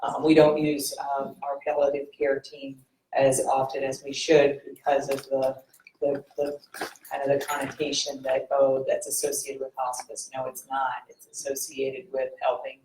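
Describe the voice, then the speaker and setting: A woman's voice: rumbling low, completely flat delivery, normal speed, a little mellow, slight background noise. Chatty woman speaking in a roomy hall. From United States. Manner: chatty, laughs at herself, many fillers. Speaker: medical oncologist and moderator. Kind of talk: conference talk. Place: conference hall.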